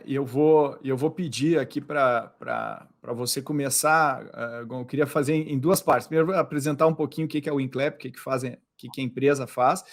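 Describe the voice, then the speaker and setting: A Brazilian man hosting a podcast interview, talking casually and often restarting and repeating words. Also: on the high side. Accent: Brazilian Portuguese. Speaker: podcast host.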